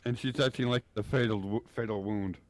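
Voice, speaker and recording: A man's voice: fairly low pitch, fairly even intonation, brisk. A man taking part in a livestream as a cast member, speaking in casual chat.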